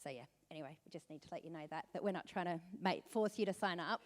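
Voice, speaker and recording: A woman's voice: fairly low pitch, fast. A woman hosting a business briefing, explaining and reassuring the audience. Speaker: event host. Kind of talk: announcements to an audience. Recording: long hall reverb.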